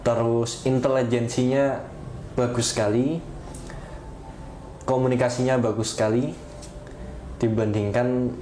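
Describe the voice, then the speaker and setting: A man's voice: mid-range voice, flat delivery, unhurried, long pauses, warm tone. Young man, Indonesian accent, podcast guest, casual explanatory talk, echoey room, noisy background. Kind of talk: casual interview chat about studying abroad. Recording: roomy echo, clear background noise.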